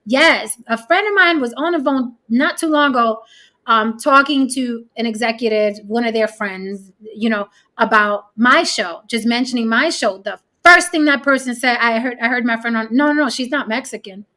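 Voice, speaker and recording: A woman's voice: on the high side, moderate pace, sharp tone. A woman from Puerto Rico hosting a casual chat, talking bluntly and swearing freely. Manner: blunt, profane, emphatic. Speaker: show host.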